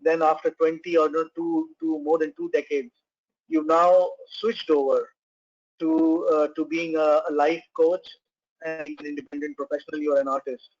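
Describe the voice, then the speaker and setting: Halting male moderator, fairly high voice. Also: level tone, a little mellow, dull audio. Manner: halting, filler-heavy questioning. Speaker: webinar moderator. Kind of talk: panel moderation. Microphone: video call.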